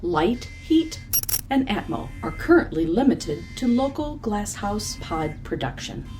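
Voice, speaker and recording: A woman voicing a female spaceship computer in an audio drama, speaking in a clipped, formal, deadpan way. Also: medium pitch, unhurried, soft background music. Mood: clipped, matter-of-fact. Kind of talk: scripted audio drama acting.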